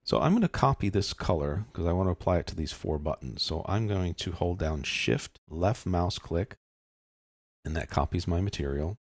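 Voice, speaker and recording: A man narrating a software tutorial as an instructor, explaining things casually and step by step. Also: low voice, lively intonation, moderate pace, no echo, clean studio sound. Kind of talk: tutorial narration over screen demonstration.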